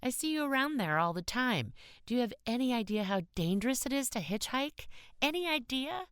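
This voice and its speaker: A woman acts out a lonely young divorced woman from an audiobook short story. She is bossy and worried, scolding with sharp questions. Her pitch climbs high and swings widely, in a dry, close recording. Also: sing-song, a little fast, clear, resonant tone, dry recording. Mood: stern alarm. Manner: stern, bossy, interrogating. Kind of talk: audiobook character dialogue.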